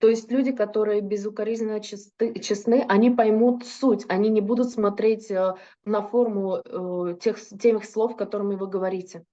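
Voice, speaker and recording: A woman's voice: mid-range voice, steady tone, moderate pace, hardly any pauses, mellow, muffled recording. A woman interpreting a religious lecture into Russian, fluent but with occasional hesitations and self-corrections.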